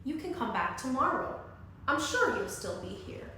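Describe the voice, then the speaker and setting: A woman's voice: sits high, very animated, moderate pace, pushed and bright, full character voice. Woman as a patient storybook mother, echoey. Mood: reassuring. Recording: very echoey room, distant mic, faint noise.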